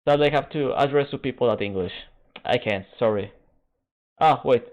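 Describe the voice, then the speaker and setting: Man, second-language English, esports stream host, casual chat, halting phrasing, thin phone-like audio. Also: medium pitch, darkish tone. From Canada. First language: Spanish. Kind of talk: casual stream chat while waiting for a match. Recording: narrow phone-like band.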